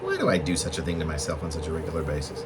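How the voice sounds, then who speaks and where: A man reading his own literary poem as a reflective monologue, in halting, deliberate phrases with pauses mid-thought. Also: a bass voice, huge pitch swings, heavy background noise. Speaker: poet reading own work.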